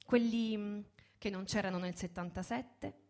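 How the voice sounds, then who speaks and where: A woman in her thirties introduces a live theatrical reading in a reflective, halting way, with pauses and repeated words. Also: mid-range voice, darkish tone. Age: 30s. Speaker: host and presenter of a live reading.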